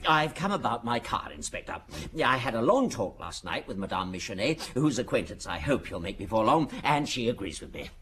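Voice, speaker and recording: A man's voice: medium pitch, sing-song, a little fast, few pauses, a bit bright. A fussy, officious insurance man in a radio drama. His mid-range voice swings up and down as he insists, never letting objections stop him. Faint noise sits behind the voice. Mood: pompous, insistent. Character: fussy, self-important male insurance agent, pushy complainer.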